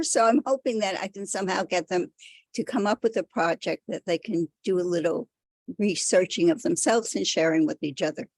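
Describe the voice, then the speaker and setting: A woman's voice: medium pitch, darkish tone, dry recording. A woman from Connecticut, an art teacher, introducing herself in a plain, thoughtful, slightly meandering way. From Connecticut, United States. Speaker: art teacher, seminar participant.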